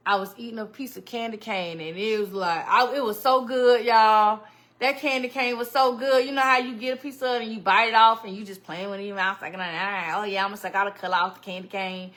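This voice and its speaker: Woman livestream host, slightly high and sharp-toned, loose chatty delivery. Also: normal speed. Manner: chatty and animated, greets viewers by name and laughs often. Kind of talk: casual livestream chat with viewers.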